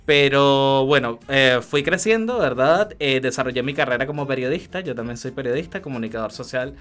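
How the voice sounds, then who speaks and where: A man's voice: mid-range voice, lively intonation, a little fast, fairly bright tone. Man, Venezuelan accent, mid-range voice, interview guest, conversational, frequent check-in tags. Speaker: interview guest, tarot reader and astrologer. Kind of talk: conversational personal account on radio.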